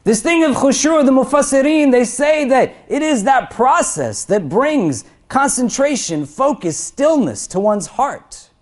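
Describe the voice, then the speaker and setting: A man who is an imam, giving a sermon to a crowded prayer hall. He builds through lists and questions, rising in pitch and loudness, then drops low to land each point. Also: lively intonation, a little slow, few pauses. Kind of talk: Friday sermon.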